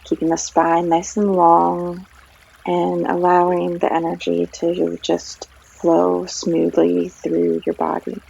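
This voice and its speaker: A woman leading a guided meditation as a yoga guide, speaking gently with long pauses, in a breathy, creaky voice. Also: lowish voice, completely flat delivery. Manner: gentle, unhurried, long pauses between phrases. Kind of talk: guided meditation, soothing narration. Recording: slightly dull sound, trickling stream water behind. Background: flowing stream water.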